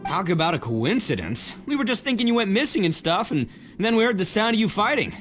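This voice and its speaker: Bombastic oni gang boss, wildly animated. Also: male voice, sits high, fairly quick, long reverb tail, faint music behind. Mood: cocky, upbeat. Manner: bombastic, slangy, theatrical. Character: boisterous, bragging oni gang boss, big-hearted and dramatic. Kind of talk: anime-style game cutscene acting.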